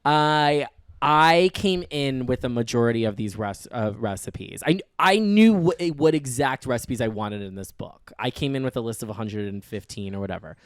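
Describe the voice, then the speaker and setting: A man chatting as a guest about his cookbook, campy and animated, laughing often. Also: mid-range voice, normal speed, clean tone, no echo. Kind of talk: casual chat.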